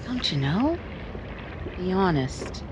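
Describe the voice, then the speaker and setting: Wry, probing leading lady played by an actress, expressive mid-century radio romance delivery, animated intonation, clear boat noise behind. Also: fairly low pitch, takes it slowly. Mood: gently probing. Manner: short, pointed questioning. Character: candid, probing, wry single woman named Jenny. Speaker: actor in an audio drama. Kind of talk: 1950s romantic radio drama acting. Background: boat and water sound effects.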